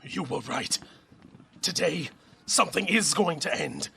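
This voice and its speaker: Guy playing determined war captain, really gravelly, strained n halting, slow, audio drama. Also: on the high side, expressive, sharp tone, slight background noise, heavily acted. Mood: resolved. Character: resolute, weary heroic army captain.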